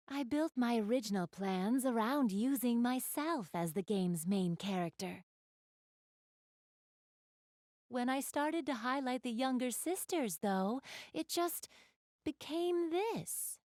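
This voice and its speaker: Refined young game goddess, high dry voice, genteel, formal, courteous, anime style. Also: female voice, expressive, takes it slowly, a little mellow, no echo. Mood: wistful, determined. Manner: polite, genteel, formal diction. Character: elegant young goddess, refined, enthusiastic gamer. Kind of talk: anime video game voice acting, addressing the player.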